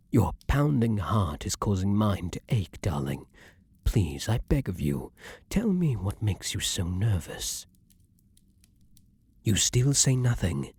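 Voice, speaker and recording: A man playing an imperious, lovesick vampire king in an intimate ASMR fantasy roleplay, speaking formally and theatrically with breathy, dramatic pauses. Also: on the low side, darkish tone, dry recording, full character voice. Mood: anxious pleading. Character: ardent, imperious male vampire king, lovesick then menacing.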